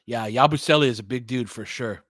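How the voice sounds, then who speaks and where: A man's voice: medium pitch, fairly quick, a bit bright, no room sound, clean studio sound. A man hosting casual live basketball commentary and analysis, thinking aloud in a conversational way. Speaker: host, fan play-by-play commentator.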